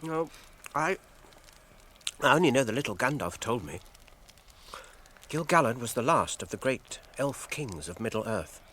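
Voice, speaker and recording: An actor playing an anxious, earnest young hobbit in a radio drama, hesitant and British-accented. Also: male voice, mid-range voice, very animated, measured pace, pauses often, sharp tone, slightly rough, exaggerated voice.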